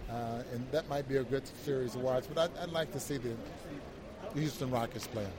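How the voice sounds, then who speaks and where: A man co-hosting a sports show, giving casual basketball analysis in a halting way, repeating words as he builds each point. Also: medium pitch. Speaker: basketball broadcast co-host, analyst. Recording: crowd noise behind the voice.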